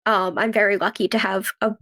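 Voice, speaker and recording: A woman's voice: mid-range voice, level tone, brisk, sharp tone. A young woman answering interview questions in a casual, conversational way, telling stories and often saying "like" and quoting her own thoughts. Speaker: podcast guest, actress and writer.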